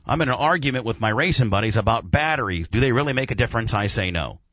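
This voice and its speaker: A man's voice: medium pitch, expressive, fast, fairly bright tone, no room sound. A man hosting a casual radio show about auto racing, talking in a slangy, informal and opinionated way.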